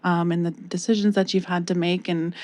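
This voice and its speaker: A woman with a Canadian accent speaking softly and hesitantly into a podium microphone, giving public comment in a council chamber. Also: fairly low pitch, little pitch movement, brisk. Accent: Canadian English. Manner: soft-spoken, hesitant, earnest. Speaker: public delegate.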